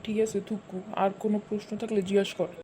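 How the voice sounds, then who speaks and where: A good-natured, slow-witted cartoon uncle, played by a woman stumbles through riddles in a Bangladeshi Bengali accent, drawing out hesitant sounds. The voice sits at a middling pitch. A clear layer of noise runs under the recording. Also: flat delivery, dark tone, lightly acted. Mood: bumbling. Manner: hesitant, drawn-out thinking sounds. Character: easygoing bumbling middle-aged uncle. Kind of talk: cartoon dubbing. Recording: noisy home recording.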